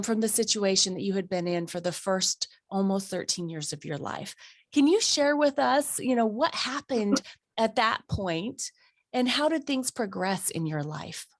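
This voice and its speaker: Woman host, mid pitch, mild cold rasp, upbeat interviewer, dry booth. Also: dry recording. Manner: effusive, upbeat and affirming. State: raspy voice from a cold. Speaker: podcast host. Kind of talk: warm conversational interview.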